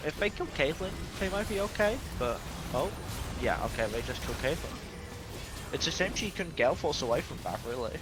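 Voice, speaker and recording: A man with a soft voice casting a live online game, joking about himself between plays. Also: a little high, lively intonation, brisk, over loud music. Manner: self-deprecating, joking. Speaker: esports caster.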